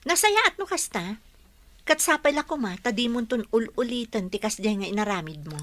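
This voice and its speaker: A woman's voice: fairly high pitch, expressive, normal speed, a bit bright. A woman playing a kind, welcoming young nurse in an audio drama, speaking politely. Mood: agreeable. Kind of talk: Ilocano audio drama acting.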